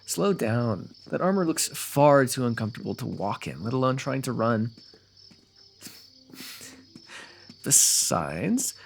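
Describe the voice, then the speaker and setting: A man's voice: on the high side, creaky, slight background noise. A man playing a confident, teasing royal rival turned ally in a fantasy roleplay audio, speaking in formal, wordy, playfully goading phrases.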